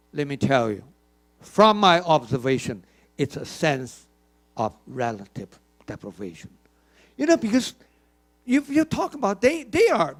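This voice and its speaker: Emphatic older man giving a keynote. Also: high voice. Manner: emphatic, questioning the audience, many repeated words. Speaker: keynote speaker. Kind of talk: conference keynote speech. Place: conference hall.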